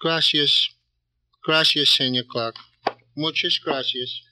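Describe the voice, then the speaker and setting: A fairly high, piercing male voice, speaking very slowly. a meek Spanish-speaking immigrant looking for work in an old radio drama, deferential and full of humble gratitude. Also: steady tone, bright, forceful tone, full character voice, poor audio. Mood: humbly grateful. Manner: deferential, eager to please. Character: meek, grateful immigrant job seeker. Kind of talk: old-time radio crime drama acting.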